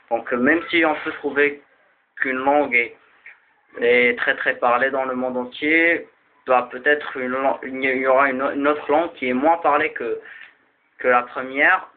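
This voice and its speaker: Slow, halting man on a tinny line. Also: medium pitch. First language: Arabic. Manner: hesitant, halting, with frequent restarts and fillers. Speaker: video presenter. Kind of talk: casual explanatory video talk. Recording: tinny lo-fi phone-like sound with faint noise.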